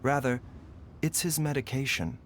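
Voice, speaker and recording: A man playing a calm, grave young doctor in a video game, explaining things in a measured, formal, clinical way. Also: medium pitch, a little hiss or hum, lightly acted. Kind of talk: scripted video game voice acting.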